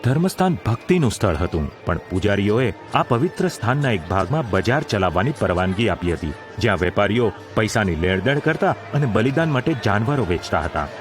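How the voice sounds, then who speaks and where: A man's voice: on the low side, sing-song, brisk, few pauses, music in the background. A man reading scripted narration for a Bible audio drama.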